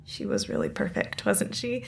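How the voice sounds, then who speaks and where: A woman's voice: medium pitch, huge pitch swings, moderate pace, creaky, a little mellow, full character voice. An actress playing a chatty, sentimental married sister and young mother in a radio play. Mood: sentimental, defensive.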